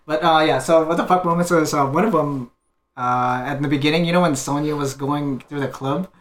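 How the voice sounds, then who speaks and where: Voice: medium pitch, brisk. A podcast co-host chatting casually about a film, retelling moments loosely and laughing easily. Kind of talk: casual movie discussion.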